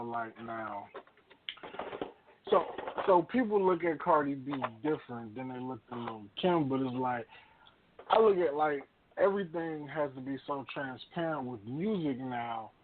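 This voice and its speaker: A man's voice: medium pitch, a little hiss or hum. An American man co-hosting a casual radio debate, slangy and repeating his phrases to make a point. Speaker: radio show co-host. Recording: thin phone-like band-limited audio.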